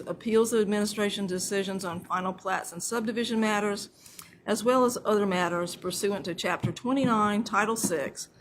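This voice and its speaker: A woman chairing a public county meeting, reading the formal opening into a desk mic. Her pitch sits in the middle of the range. Noticeable hiss runs under the recording. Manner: casual and self-deprecating off-mic, then formal reading of the opening. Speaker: commission chair. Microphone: desk microphone that cuts out. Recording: noisy meeting room audio.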